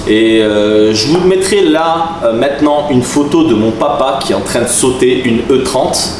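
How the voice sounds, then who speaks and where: A man's voice: medium pitch, moderate pace. A man in his forties from southern France, telling stories to the camera in a chatty way, laughing often. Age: 40s. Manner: chatty, laughing, animated asides. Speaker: vlogger, taekwondo master. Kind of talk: casual storytelling to camera. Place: room with a camera crew. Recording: roomy, mic at a distance, background noise.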